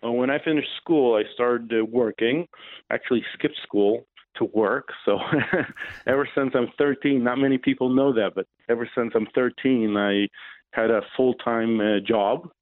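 A man in his forties from Israel with an Israeli accent, a radio interview guest answering questions in a halting way, with frequent pauses and fillers. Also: mid-range voice, dry recording. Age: 40s. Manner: hesitant, many pauses and "uh" fillers. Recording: tinny phone line.

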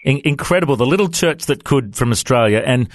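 A man's voice: mid-range voice, animated, brisk. A man hosting a radio interview, enthusiastic and full of hesitant fillers. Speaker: radio host.

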